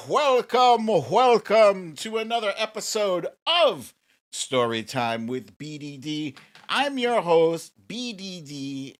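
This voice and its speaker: A middle-aged American man hosting a casual livestream, chatting informally and playfully with his viewers. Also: a little high. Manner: informal, playful, drawn-out shout-outs to viewers. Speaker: livestream show host.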